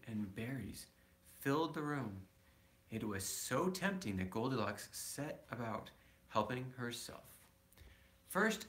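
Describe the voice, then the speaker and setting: A man reading a children's story aloud as a father would, casually and haltingly, correcting himself as he goes. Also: medium pitch, a bit bright.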